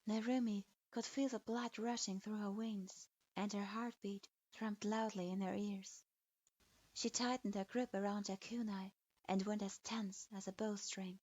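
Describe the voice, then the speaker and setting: A woman reading a dark fanfiction story aloud as its narrator, in a steady, even, scripted manner. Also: mid-range voice, no room sound. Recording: dull dry lo-fi recording.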